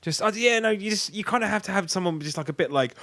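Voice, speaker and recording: Higher-pitched man, rambling sweary podcast banter. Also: expressive, fast, fairly bright tone, no echo, clean studio sound. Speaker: podcast host. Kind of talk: casual comedic chat.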